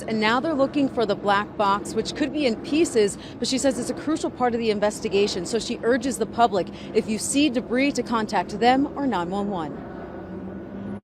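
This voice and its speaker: A woman with an American accent giving a scripted live field news report as a reporter. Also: fairly high pitch. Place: outdoors, live from a city street. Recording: outdoor location with clear background noise.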